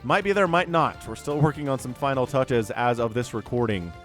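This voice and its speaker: A man's voice: medium pitch, brisk, soft background music. Congested man casting a strategy game. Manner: chatty, joking asides, excited at fights. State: congested, slightly off voice from a cold. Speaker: StarCraft caster and show host. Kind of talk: casual game commentary.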